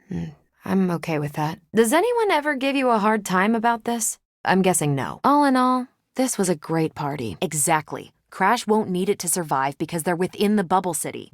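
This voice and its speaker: Casting: confident American video game superheroine, ex-military pilot, lowish voice, dry wit, close dry sound. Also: female voice, dry recording. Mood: earnest, then casual. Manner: dry, wisecracking, self-assured. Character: confident, wry superheroine, ex-Air Force pilot, woman.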